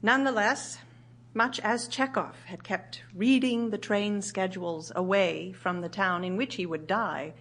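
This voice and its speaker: An actress playing a grieving widowed writer, narrating in a measured, solemn, literary way for audio theatre. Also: female voice, medium pitch, fairly even intonation, fairly bright tone. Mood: solemn, reflective. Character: grieving widowed woman writer recounting her husband's last year.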